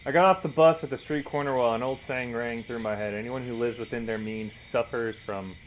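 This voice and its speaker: A man's voice: mid-range voice, fairly even intonation, fairly quick. Man doing wry game commentary, noisy background. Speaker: video game streamer. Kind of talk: casual game commentary, reading visual novel text aloud.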